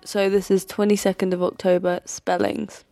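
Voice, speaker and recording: A woman's voice: mid-range voice, level tone, normal speed, mellow, dry recording, soft background music. A woman narrating an intimate personal documentary.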